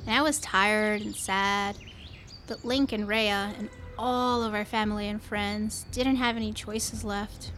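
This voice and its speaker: A woman's voice: mid-range voice, fairly even intonation, unhurried, fairly bright tone, slight background noise, natural voice. A woman playing a starship captain and mother in an audio drama, telling stories in a plainspoken way. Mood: wistful storytelling. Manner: plainspoken, storytelling to a child.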